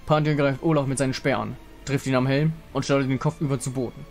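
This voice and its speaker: A man's voice: medium pitch, fairly even intonation, fast talker. A man narrating a fantasy game story in a dramatic scripted style. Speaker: narrator reading visual novel dialogue. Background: music.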